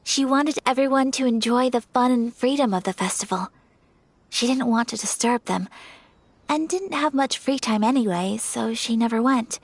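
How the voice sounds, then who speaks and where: Ayaka, high-voiced refined young noblewoman. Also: no room sound. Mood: wistful, reflective. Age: young adult. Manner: polite, formal, measured, hesitant. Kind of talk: video game character acting.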